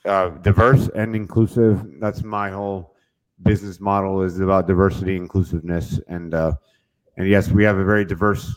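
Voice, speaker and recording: A man's voice: sits low, little pitch movement, normal speed, poor audio. A man hosting a real estate podcast, talking in an upbeat, rambling way with lots of fillers.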